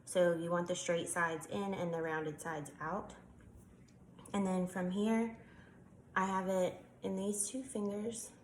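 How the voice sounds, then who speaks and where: Woman, congested, lowish voice, casual craft tutorial, echoey room with faint hiss. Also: steady tone, takes it slowly. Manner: chatty, explains while working with hands, frequent ums. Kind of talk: casual step-by-step demonstration.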